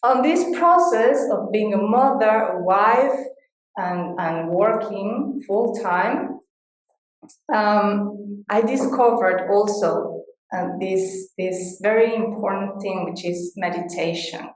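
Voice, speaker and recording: Slow-spoken woman. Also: mid-range voice. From Mexico. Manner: hesitant, pausing with many fillers and soft laughs. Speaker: guest. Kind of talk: casual interview chat. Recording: very echoey room.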